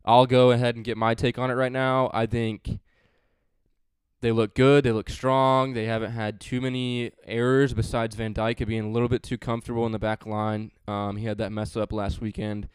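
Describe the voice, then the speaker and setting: A man's voice: mid-range voice, level tone, normal speed, smooth, dry recording. A man hosting a casual sports podcast, chatty and joking, with frequent fillers. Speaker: podcast host.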